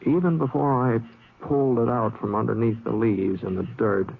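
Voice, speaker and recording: An actor playing an easygoing cowboy drifter with an American accent, in the folksy, hesitant style of old radio drama. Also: male voice, mid-range voice, steady tone, normal speed, creaky, lightly acted. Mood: grave, wondering. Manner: folksy, hesitant, plain-spoken drawl. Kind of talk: 1950s radio drama acting and first-person narration. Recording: scratchy, tinny, noisy old radio recording.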